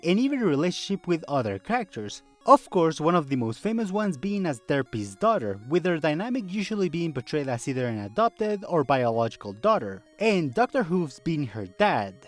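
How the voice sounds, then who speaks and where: Youtuber reading a fandom video essay, really lively pitch, chatty with wry little asides, faint music under it. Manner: conversational, rhetorical questions, wry asides.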